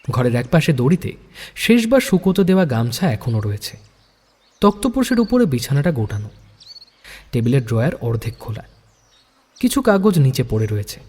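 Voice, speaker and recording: A man narrates a story dramatically in a husky, fairly high voice with lively swings of pitch. A long reverb hangs around his words. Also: normal speed, long pauses.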